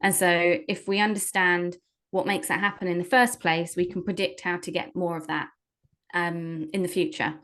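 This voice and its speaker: A woman's voice: lowish voice, little pitch movement, moderate pace, fairly bright tone. A woman in her thirties, an entrepreneur answering interview questions with many fillers and restarts. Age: under 40. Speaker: entrepreneur guest.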